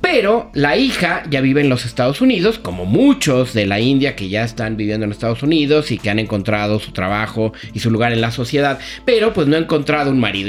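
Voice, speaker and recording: Chatty Mexican man reviewing series and films. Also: mid-range voice. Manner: colloquial, gossipy storytelling with slang. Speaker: film and series critic on a talk show.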